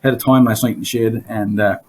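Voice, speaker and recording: A hoarse man hosting a casual podcast from his basement, rambling through stories and laughing at his own jokes. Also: lowish voice, fairly even intonation, brisk, a little mellow, slight background noise. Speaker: podcast host. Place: home basement.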